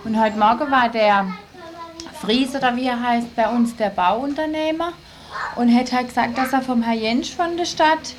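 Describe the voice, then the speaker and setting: A woman's voice: on the high side, normal speed. A woman answering questions casually in an on-site interview. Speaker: interviewee, protesting childcare worker. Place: on site beside a building site. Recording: noisy on-site field interview.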